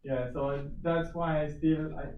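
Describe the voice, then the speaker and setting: A man from Romania speaks English haltingly, leaning on fillers and small laughs. He is heard from across a roomy meeting hall, faint hiss behind. The sound is dull and distant. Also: on the high side, fairly even intonation. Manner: hesitant, many fillers, laughs often. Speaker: visiting company manager. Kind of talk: public comment at a meeting. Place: village board meeting room.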